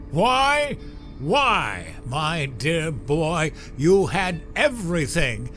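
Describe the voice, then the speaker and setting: A man's voice: on the high side, a bit bright, heavily acted. A man playing a stern, pompous wolf father and pack leader with theatrical delivery in a sci-fi audio drama. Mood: betrayed.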